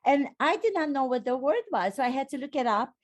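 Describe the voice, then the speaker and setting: A woman's voice: sits high, lively intonation, a little fast. A woman from Romania with a Romanian accent, hosting an interview and talking expressively as an acting coach. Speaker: podcast host and acting coach. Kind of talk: interview conversation.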